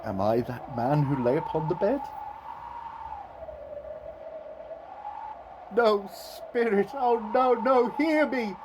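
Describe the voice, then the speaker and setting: An actor playing an old Victorian miser in an audio drama, earnest and theatrical, with formal old-fashioned phrasing. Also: male voice, high voice, animated, unhurried, noisy background. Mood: desperate pleading. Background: music.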